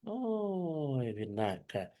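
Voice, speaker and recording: A host, interviewing a guest in casual chat and asking gentle questions with many hesitant fillers. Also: mid-range voice, sing-song, extremely slow. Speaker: talk show host interviewing a student. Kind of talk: casual interview chat, switching between Fijian and English.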